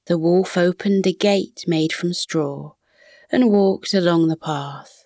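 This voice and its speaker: A woman reading a scripted children's bedtime story as narrator, in a soothing, measured manner. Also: fairly low pitch, dark tone, no room sound, studio quality.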